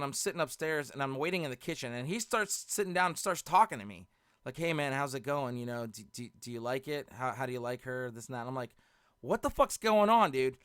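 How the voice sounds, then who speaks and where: Bright-voiced man spinning a casual anecdote. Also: fairly high pitch, no room sound, clean studio sound. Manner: animated, laughing as he talks, reenacts both sides of conversations. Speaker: storyteller and host of a live show. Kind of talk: casual, profane anecdote storytelling with acted dialogue.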